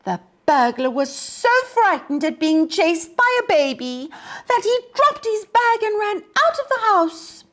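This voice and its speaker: A woman reading a storybook aloud for young children in a deliberate, expressive way, as a storytime host. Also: very high-pitched.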